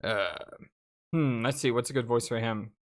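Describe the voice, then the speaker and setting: Low-voiced woman narrating a game playthrough. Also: moderate pace. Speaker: let's play host. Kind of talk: casual gaming commentary and read-aloud narration. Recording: game music behind. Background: video game music.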